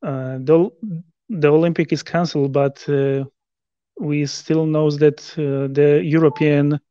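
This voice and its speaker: A man in his thirties from Poland with a Polish accent, speaking halting English with many restarts in a video call interview. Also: on the high side, steady tone, dark tone, dry recording, lo-fi sound. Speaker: interview guest, rower. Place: living room at home.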